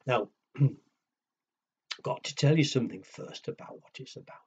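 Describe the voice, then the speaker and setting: A man telling a story to young children, with a husky voice, hushed dramatic pauses and simple questions to his listeners. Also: medium pitch, animated. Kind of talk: children's storytelling and nursery rhymes. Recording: dull lo-fi recording.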